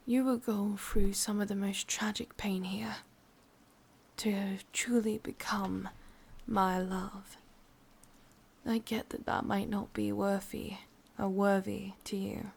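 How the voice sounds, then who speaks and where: A woman with an English accent voicing an ancient, lonely, sadistic witch in a breathy half-whispered ASMR roleplay, speaking slowly with drawn-out pauses. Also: mid-range voice, fairly even intonation, a little mellow, heavily acted. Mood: lonely, yearning. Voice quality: breathy, often slipping into whisper. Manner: slow, halting, drawn-out delivery with pauses.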